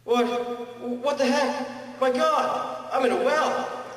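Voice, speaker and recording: A man's voice: extremely high pitch, slow pace, a bit bright, full character voice. Echoey teenage schoolboy, acted by a man. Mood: panicked. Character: 15-year-old schoolboy, cheeky and reluctant, 1920s. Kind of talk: scripted audio drama acting. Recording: echoey hall reverb with faint noise.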